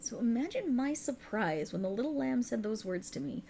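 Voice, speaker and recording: A woman's voice: a little high, expressive, vocal fry, dark tone, slight background noise, pitched above the performer's own voice. A woman voicing a cruel, condescending otherworldly warlock patron in a theatrical, taunting ASMR roleplay, close to the microphone. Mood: sly reminiscing. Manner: taunting, theatrical, mock-affectionate, drawn-out emphasis. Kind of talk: ASMR roleplay, dramatic monologue.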